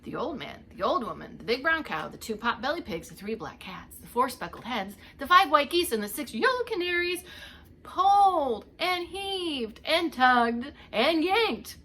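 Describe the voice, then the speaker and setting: Woman, preschool storyteller, lively swooping intonation, theatrical drawn-out words, speaks to the listeners, some background noise. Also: high voice. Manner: theatrical, drawing out action words and addressing listeners. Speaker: preschool teacher reading a picture book aloud. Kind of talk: animated read-aloud storytelling for young children.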